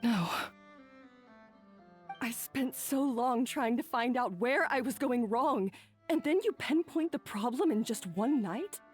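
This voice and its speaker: Remorseful lady chef in a game, highish voice, dramatic n unsure of herself, faint music. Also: takes it slowly, a little mellow, slightly rough. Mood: dejected. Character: proud, remorseful, self-doubting female head chef. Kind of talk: video game voice acting.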